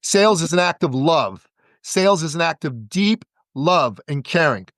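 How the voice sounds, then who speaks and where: A man talking as a podcast guest and business coach, giving an energetic pitch and repeating words for emphasis. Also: moderate pace, no echo, clean studio sound.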